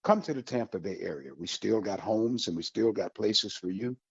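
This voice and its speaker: A man with a low, husky voice hosts a community radio show, selling the area to listeners in a folksy, upbeat way. The sound is dry and a little muffled, like a lo-fi broadcast. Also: moderate pace, no echo. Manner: folksy, upbeat promotional delivery. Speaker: radio host.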